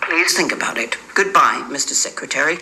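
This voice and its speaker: A woman's voice: sits low, animated, brisk, very noisy. An actress playing a stern elderly woman national leader who speaks with cold, commanding defiance. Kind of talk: film drama acting.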